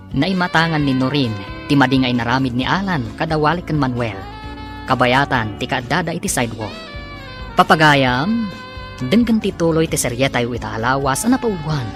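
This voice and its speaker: A man with an Ilocano Filipino accent giving dramatic, emphatic radio narration as a serial's announcer, stretching key words. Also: medium pitch.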